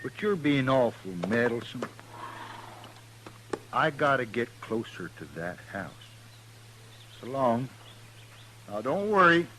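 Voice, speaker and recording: Slow-drawling stubborn Ozark feudist. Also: male voice, mid-range voice, expressive, bright, forceful tone. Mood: stubborn, calm. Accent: Ozark hillbilly. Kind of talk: 1950s Western radio acting. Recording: noisy old radio drama recording.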